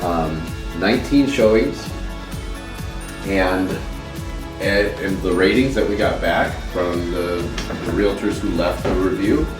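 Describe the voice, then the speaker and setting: Man, low voice, rambling casual vlogger, distant mic. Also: takes it slowly. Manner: rambling, laughs often. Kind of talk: casual chat. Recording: echoey room, mic far off, loud music.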